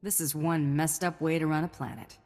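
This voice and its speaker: A woman playing a cocky, principled, dry-witted alien bounty hunter in a video game, with a husky voice. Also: deep voice, fairly even intonation, fairly quick, a little mellow, long reverb tail, close to an everyday voice. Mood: serious.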